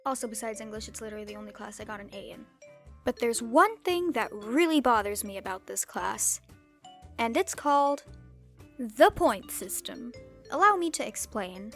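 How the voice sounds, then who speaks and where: High-voiced teen girl, wry vlog rant. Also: lively intonation, normal speed, fairly bright tone, faint music behind. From Turkey. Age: teens. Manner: wry, sarcastic, with sudden comic outbursts. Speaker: YouTuber. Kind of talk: scripted vlog monologue.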